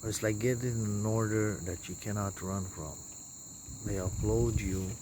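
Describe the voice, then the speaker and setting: A man with a Romanian accent giving a rambling, off-the-cuff monologue in a halting, repetitive, disjointed way. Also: lowish voice, fairly even intonation. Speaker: self-styled religious messenger recording a video monologue. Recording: clear background noise, chirping insects.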